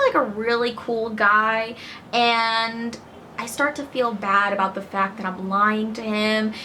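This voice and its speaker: Woman vlogger from Connecticut, lively storyteller, crisp bright tone, faint hiss behind. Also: fairly high pitch, rough recording. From Connecticut, USA. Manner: chatty, lively, acts out quoted voices. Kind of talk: casual storytelling to camera.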